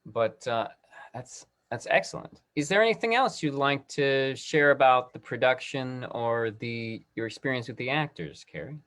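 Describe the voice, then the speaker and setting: A man hosting an online talk-back and making announcements in a halting way, often repeating himself. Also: medium pitch, lively intonation, a bit bright. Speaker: host.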